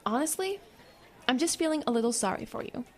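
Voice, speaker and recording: A woman's voice: high-pitched, lively intonation, quick pace, a little mellow, faint music behind. A woman playing a snobbish, catty teenage mean girl with a haughty, dismissive manner in an audio drama.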